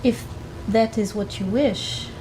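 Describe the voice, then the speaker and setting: A woman's voice: fairly high pitch, noisy background. Woman playing a mysterious, guarded tiefling stranger. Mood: cool, guarded. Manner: formal, deliberate, cryptic. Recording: music under the voice.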